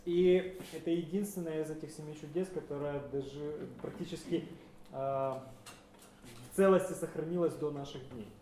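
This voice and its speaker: A man interprets a sermon live, phrase by phrase, hesitating and correcting himself along the way. His voice is mellow and sits a little higher than most men's. He is recorded in an echoing hall with faint ambience behind him. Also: fairly even intonation, normal speed, a little hiss or hum. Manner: hesitant, with filler sounds and self-corrections.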